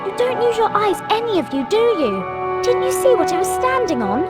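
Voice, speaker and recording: A woman's voice: extremely high pitch, very animated, moderate pace, loud music behind the voice. An actress playing a bossy, bookish young schoolgirl witch in a video game, with an emphatic, lecturing way of talking. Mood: scolding, intrigued. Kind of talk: video game cutscene acting.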